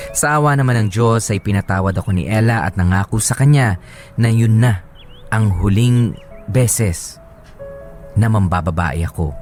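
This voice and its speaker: A man's voice: fairly low pitch, darkish tone, natural voice. A man playing a remorseful former womanizer, narrating his confession letter earnestly in a radio drama. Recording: music behind the voice.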